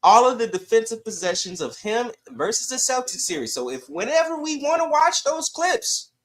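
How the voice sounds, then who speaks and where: A man's voice: very high-pitched, sing-song, normal speed, fairly bright tone. A man on a sports podcast arguing casually and emphatically, often repeating phrases to drive his point home.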